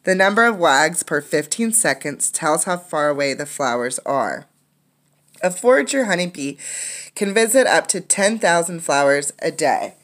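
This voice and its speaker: A woman's voice: deep voice, lively intonation, moderate pace, fairly bright tone. A woman teacher reading a children's nonfiction book aloud, adding explanatory asides for young listeners.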